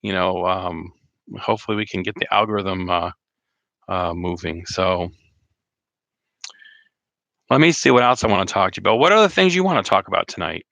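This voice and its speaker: A slightly hoarse man chatting casually on a live stream, rambling with many fillers and restarts. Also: sits low, animated, moderate pace, pauses often, a bit bright, no echo. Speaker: RV vlogger hosting a live chat.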